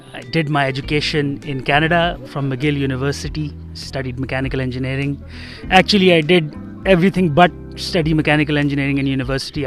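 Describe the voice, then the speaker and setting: A young man from Pakistan, answering an interview in a relaxed way with some fillers. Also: on the high side. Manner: relaxed, uh and um fillers, laughs lightly. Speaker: guest. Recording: faint music underneath.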